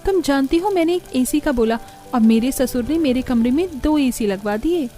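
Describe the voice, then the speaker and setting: A woman's voice: sits high, animated, normal speed, warm tone. A woman voicing a showy, self-satisfied young daughter-in-law in a family cartoon. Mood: boastful, smug.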